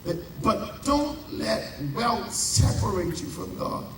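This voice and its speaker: Bahamian male pastor, unhurried, heavy emphasis; distant mic, noisy hall. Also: high voice, expressive. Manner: preaching with dramatic pauses and drawn-out emphasis. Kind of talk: sermon. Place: large church. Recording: echoey church heard from a distance.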